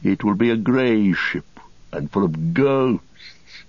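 A man voicing a sneering fallen old wizard reduced to beggary, in a cracked, husky voice with mocking archaic phrasing, as in an audiobook. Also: rumbling low, fairly even intonation, a little slow, darkish tone, no echo, dull audio, heavily acted. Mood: scornful, gloating.

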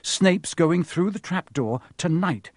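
Earnest, anxious schoolboy, read by a man, slightly raised pitch, storybook role. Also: expressive, slow pace, no room sound, studio quality, exaggerated voice. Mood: urgent. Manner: urgent, earnest.

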